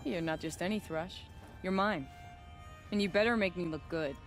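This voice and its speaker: A woman's voice: on the low side, normal speed, soft background music, natural voice. A woman playing a proud, defiant young noblewoman hunter in a video game, firm and assertive, with bitterness and determination. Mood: commanding. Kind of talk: video game dramatic acting.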